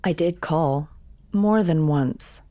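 A woman's voice: sits low, takes it slowly, dark tone, dry recording. A woman playing a cool, menacing former partner in a thriller audio drama, clipped and taunting. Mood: cold, taunting.

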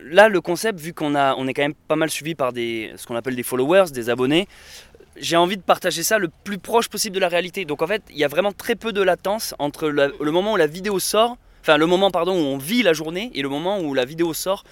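Man with a smooth, slightly high voice. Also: animated, quick pace, no echo. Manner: rambling, many fillers and restarts, laughs now and then. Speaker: interviewee, traveler and videographer. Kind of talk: casual interview chat.

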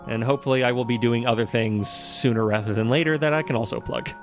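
A man co-hosting a podcast with casual comedic banter, halting and self-deprecating in his delivery. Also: mid-range voice, fairly even intonation, faint music behind. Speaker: podcast co-host.

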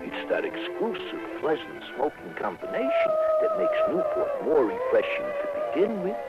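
An actor playing a smitten, excitable diner counterman in a comic 1960s radio commercial, with a swooning, exaggerated delivery. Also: very high-pitched, normal speed, full character voice. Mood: dreamy, smitten. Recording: muffled old radio ad over loud music.

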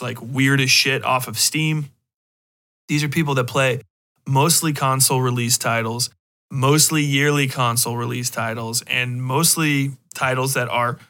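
Bright-voiced, blunt man talking casually. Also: medium pitch. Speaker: podcast host. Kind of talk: casual opinionated discussion of video games.

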